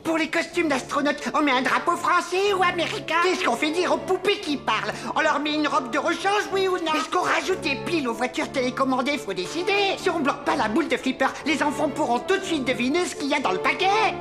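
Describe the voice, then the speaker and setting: A busy cartoon elf who pesters for decisions. The voice is high and insistent, with music in the background. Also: female voice, lively intonation, brisk, hardly any pauses. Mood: pressing. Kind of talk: French children's cartoon dubbing. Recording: music behind the voice.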